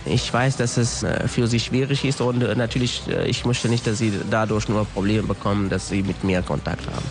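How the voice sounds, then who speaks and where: Young man in his twenties with an Afghan accent, earnest and halting, radio interview clip, faint music underneath. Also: on the low side. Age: 20s. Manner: hesitant, many pauses and fillers, earnest.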